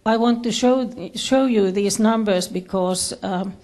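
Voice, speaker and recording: A woman with a Finnish accent giving a presentation in English as a second language, haltingly searching for her words. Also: medium pitch, darkish tone. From Finland. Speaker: conference speaker, education official. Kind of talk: presentation to an audience with slides.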